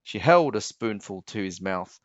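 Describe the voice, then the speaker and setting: A man narrates a children's book at an unhurried pace with lively, swooping intonation. He is emphatic and expressive, recorded close and dry with slightly muffled sound. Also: lowish voice, dry recording. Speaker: storybook reader. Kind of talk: children's read-aloud narration.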